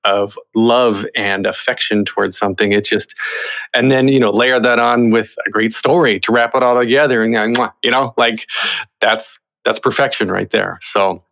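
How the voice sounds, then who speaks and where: A man's voice: fairly low pitch, steady tone, normal speed, gravelly, a bit bright. A man hosting a podcast interview in a conversational style. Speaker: podcast host.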